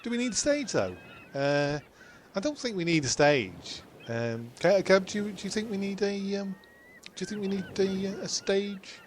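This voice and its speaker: A man voicing a cheerful male castaway who talks haltingly and stammers. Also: high voice, expressive, a little hiss or hum, pitched above the performer's own voice. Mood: eager.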